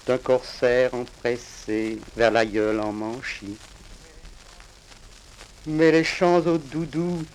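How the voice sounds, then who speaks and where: Guy from reunion island reading his poems, slow and solemn, pauses a lot, crackly old vinyl. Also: a little high. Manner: measured, declamatory, with deliberate pauses. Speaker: poet reading own work. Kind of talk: solemn poetry recitation. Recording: crackly, hissing vinyl record.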